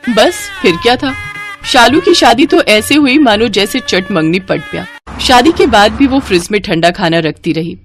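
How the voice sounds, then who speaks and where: A woman's voice: a little high, animated, moderate pace, faint music behind. A woman storyteller reading scripted narration for a moral tale.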